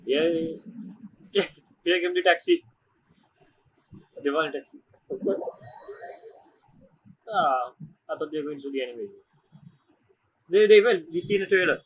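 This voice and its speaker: Animated high-pitched man commenting on a game. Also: measured pace, long pauses, husky, poor audio. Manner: excitable, rambling, swearing. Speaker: game streamer. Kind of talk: casual gameplay commentary.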